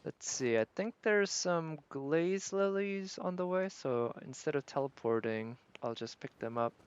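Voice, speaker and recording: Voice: medium pitch, a little slow, clear, resonant tone, dull audio. A streamer chatting casually with viewers during a game, thinking aloud in a musing, hesitant way. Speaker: live streamer. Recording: game music behind the voice. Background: video game music.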